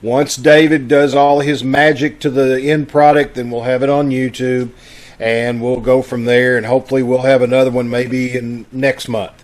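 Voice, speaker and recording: A man's voice: medium pitch, little pitch movement, normal speed. A man casually hosting a live online broadcast on a video call, making announcements in a folksy, conversational way. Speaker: host of a live online radio-troupe broadcast.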